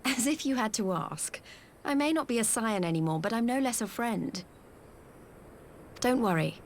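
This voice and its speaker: A woman's voice: fairly high pitch, expressive, normal speed, slight background noise. A woman playing a loyal, caring, plain-spoken young commander in a video game. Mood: reassuring. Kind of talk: scripted video game cutscene acting.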